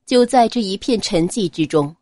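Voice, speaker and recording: A woman narrating an audiobook in an expressive, scripted storytelling style. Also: mid-range voice, moderate pace, dry recording, studio quality.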